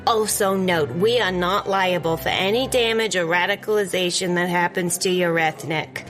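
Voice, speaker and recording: An actress playing a sweetly officious club cloakroom attendant in a comedic period audio drama, reciting things politely. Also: female voice, fairly low pitch, normal speed, fairly bright tone, faint music behind, lightly acted. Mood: blandly polite.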